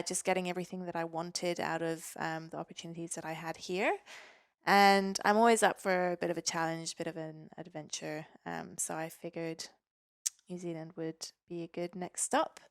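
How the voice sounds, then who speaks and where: A woman's voice: fairly low pitch, clear, resonant tone, dry recording, clean studio sound. A young woman from Norway, a physiotherapist guest on a podcast, chatting casually with lots of ums and easy laughs. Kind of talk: casual interview chat.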